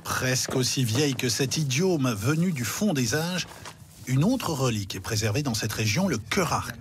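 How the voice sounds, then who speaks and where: A man narrating a television news travel feature in a measured storytelling delivery. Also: medium pitch, lively intonation, a little hiss or hum. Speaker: reporter and narrator.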